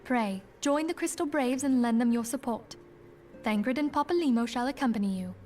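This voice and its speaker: Gracious, higher-pitched woman leader played by an actress. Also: normal speed, darkish tone, a little hiss or hum, natural voice. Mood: composed. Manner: formal, composed, caring. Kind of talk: scripted video game dialogue.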